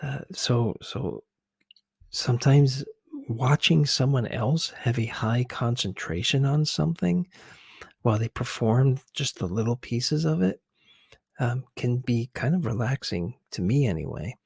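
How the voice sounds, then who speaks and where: A man giving soft, unhurried ASMR commentary as a video host, hesitant and sometimes slipping toward a whisper. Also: mid-range voice, expressive, creaky, slightly rough, no echo, studio quality.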